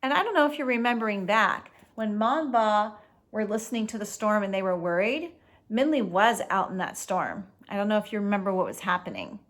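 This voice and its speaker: A woman reads a storybook aloud as host, with a mid-range voice. She is expressive and explains things casually, as if to young listeners. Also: mid-range voice, fairly quick.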